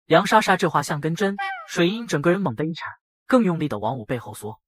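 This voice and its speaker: A man voicing a brash young factory boss who narrates his own dramatic motion-comic story in a husky voice. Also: fairly high pitch, very animated, fast, dry recording, clean studio sound. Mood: dramatic narrating. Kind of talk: dramatic first-person scripted narration.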